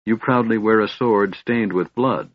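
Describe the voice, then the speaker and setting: A man's voice: on the low side, measured pace, no echo, muffled recording. A man voicing a proud, stern elven elder brother in a fantasy audiobook, speaking in a haughty, formal, accusing way. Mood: scornful reproach.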